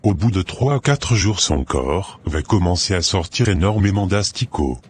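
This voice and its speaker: A man voicing a sinister, commanding older marabout sorcerer in a French cartoon, speaking in a measured, solemn way. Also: sits low, expressive, husky. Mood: cold, instructing. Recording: music behind the voice.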